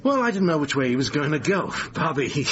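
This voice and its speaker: A manipulative male theatre manager, cajoling and domineering. His voice swoops with lively intonation, on a slightly dull radio drama recording. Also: a little high, fast talker, a crowd in the background. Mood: upbeat, smug. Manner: cajoling, domineering, self-satisfied. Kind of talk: naturalistic radio drama acting.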